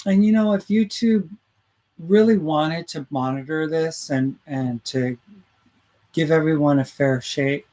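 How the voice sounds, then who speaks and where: Rambling radio host guy, voice on the high side, reads out the chat. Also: measured pace, darkish tone. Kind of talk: casual talk radio chat.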